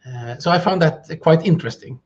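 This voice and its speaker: A man whose first language is Norwegian answers interview questions in English. He is reflective and pauses with fillers as he thinks. His voice is husky, and the recording sounds dull and lo-fi. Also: fairly high pitch. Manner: thoughtful, hesitant with fillers. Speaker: guest speaker. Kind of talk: interview conversation.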